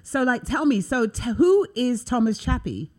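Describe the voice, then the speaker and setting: A woman's voice: fairly high pitch, big pitch swings, moderate pace, darkish tone. Chatty, prodding female host. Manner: chatty, prodding, direct questions. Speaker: interview host. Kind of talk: casual interview chat.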